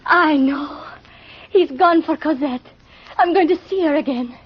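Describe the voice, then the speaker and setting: A woman's voice: high voice, a little slow. An actress playing a frail, sick young mother in old radio melodrama, trusting and emotional. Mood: joyful, wistful. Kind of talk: 1930s radio melodrama acting. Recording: muffled, hissy vintage radio recording.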